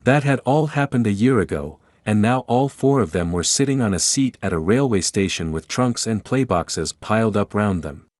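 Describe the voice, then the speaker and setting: A man's voice: fairly low pitch, animated, moderate pace, few pauses, darkish tone, no echo, studio quality. A man reading scripted storybook narration as the narrator.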